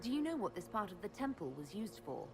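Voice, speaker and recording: A woman's voice: mid-range voice, sing-song, quick pace, noisy background, close to an everyday voice. A woman playing a resolute, inquiring leader of a quest in a fantasy game, direct and composed in manner. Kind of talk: fantasy game dialogue acting. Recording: loud game music behind the voice. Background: game soundtrack music.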